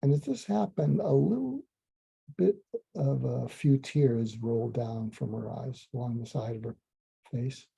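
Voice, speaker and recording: A man, a psychiatrist, calmly recounting a case in a slow, soothing, almost hypnotic way, with frequent ums and restarts. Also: mid-range voice, creaky, husky. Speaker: psychiatrist telling a clinical story. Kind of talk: calm storytelling monologue. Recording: dull lo-fi sound.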